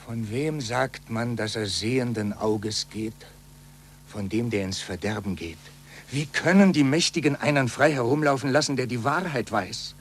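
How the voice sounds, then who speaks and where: An actor playing a grave, worried friend in a 1940s radio play, speaking in solemn declamation. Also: male voice, medium pitch, moderate pace, close to an everyday voice. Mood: agitated, warning. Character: Galileo's worried male friend, grave and foreboding. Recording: faintly noisy, humming 1940s radio recording.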